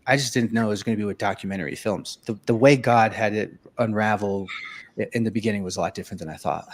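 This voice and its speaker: A man in his thirties with a lowish voice, talking through his story on a video call. He rambles, restarts and fills pauses with "um", in an easy, personal way. His tone stays low and unhurried. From Venezuela. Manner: rambling, many fillers and restarts. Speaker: guest, filmmaker and musician. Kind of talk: casual storytelling interview.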